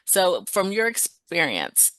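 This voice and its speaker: Female co-host, bright and lively intonation, conversational questioner, dry recording. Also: fairly low pitch, takes it slowly, no room sound. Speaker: podcast co-host.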